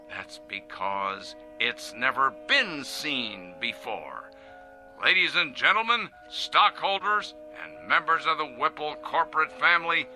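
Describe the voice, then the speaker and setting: A self-satisfied factory boss in a radio play. His voice is strikingly bright and a little high, with lively swings in pitch. He pitches like a showy salesman, pausing grandly and pompously as he addresses his shareholders. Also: full character voice. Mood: gloating, triumphant. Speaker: company head addressing shareholders. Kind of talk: radio drama acting as a corporate video presentation.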